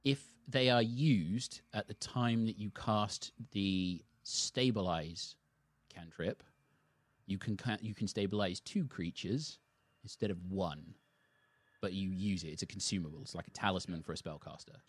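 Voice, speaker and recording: A man with a fairly low voice acts as game master for a tabletop role-playing game. His voice is recorded close and dry, with very little room sound as he narrates. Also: a little slow, no echo.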